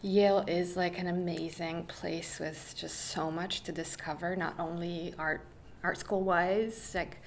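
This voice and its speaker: A woman's voice: lowish voice, fairly even intonation, a little slow, slight background noise. A young woman, a guest artist chatting thoughtfully in an interview with many small fillers. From Poland. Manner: thoughtful, with frequent fillers and restarts. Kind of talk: casual interview chat. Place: studio space indoors.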